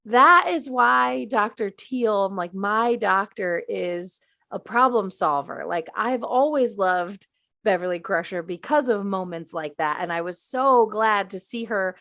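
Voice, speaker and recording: A woman's voice: mid-range voice, unhurried, gravelly, pushed and bright, no room sound. Enthusiastic woman chatting, very dry sound. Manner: emphatic, enthusiastic, informal. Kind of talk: casual panel chat about a TV show.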